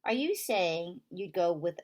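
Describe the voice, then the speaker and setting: A woman's voice: fairly low pitch, animated, slow, darkish tone. A woman voicing a skeptical, reluctant schoolboy in a children's novel read aloud, with a guarded, grudging manner.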